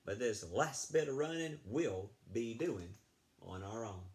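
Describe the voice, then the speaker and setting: A man reading as a modest, easygoing sheep rancher from a children's storybook. He is plain-spoken, folksy and steady, with a creaky edge to his mid-pitched voice. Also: measured pace. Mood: steady, resolved.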